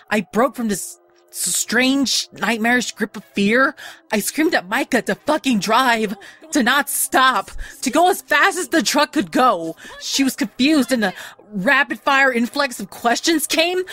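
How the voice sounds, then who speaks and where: A woman giving a dramatic, suspenseful first-person reading of a horror story, pausing deliberately for emphasis. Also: fairly high pitch, lively intonation, fairly bright tone. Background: background music.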